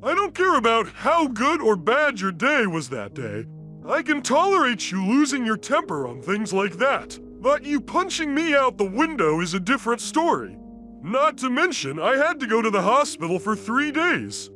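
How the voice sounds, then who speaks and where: Brief: man playing a grumpy cartoon starfish guy, high-pitched and cold, voice jumps all over, faint music behind. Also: normal speed, exaggerated voice. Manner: flat, cold and scolding. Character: grumpy adult male starfish, fed up. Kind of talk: fan storytelling.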